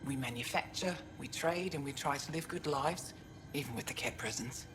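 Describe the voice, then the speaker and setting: A woman playing a dignified, practical alien town steward in a video game, speaking in a formal, earnest, measured way. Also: low-pitched, noisy background, lightly acted. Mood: proud, resolute. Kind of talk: video game character dialogue.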